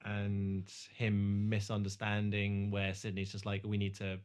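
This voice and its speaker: A man's voice: lowish voice, completely flat delivery, a little slow, a little mellow. A man co-hosting a podcast, chatting casually through a film recap in a hesitant, self-correcting way. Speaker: podcast co-host.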